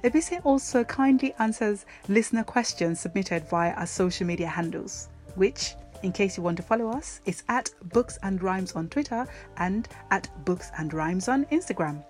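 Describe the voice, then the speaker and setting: A woman's voice: medium pitch, lively intonation, moderate pace, hardly any pauses, darkish tone, faint music behind. A woman hosting a podcast, moving between scripted introduction and informal interview chat. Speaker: podcast host.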